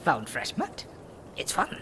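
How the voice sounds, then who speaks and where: A woman playing a scrappy, sly, childish male wild creature in a fantasy audio drama, speaking in clipped, halting, grumbling sentences. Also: bright, forceful tone, slight background noise. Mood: sulky, blunt.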